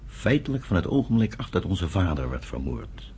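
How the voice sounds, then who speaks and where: An actor in a Dutch radio play voicing a stern, troubled elder brother who speaks gravely and with strong emotion. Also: male voice, low-pitched, big pitch swings, normal speed, dark tone, slight background noise. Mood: troubled, resigned.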